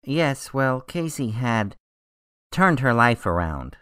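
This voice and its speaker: Earnest worried medical examiner for a radio play, very up and down, mid pitch. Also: male voice, very animated, slow, sharp tone, smooth, no echo, studio quality, full character voice. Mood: worried, explaining. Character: earnest, anxious male medical examiner consulting a detective. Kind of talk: radio drama acting.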